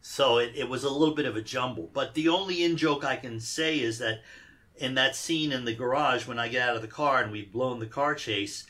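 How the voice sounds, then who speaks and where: Male actor guest, grew up in Iran, bright and forceful tone, laid-back with dry wit. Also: medium pitch, fairly quick. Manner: easygoing, dry humor, many fillers. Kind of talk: casual interview answers.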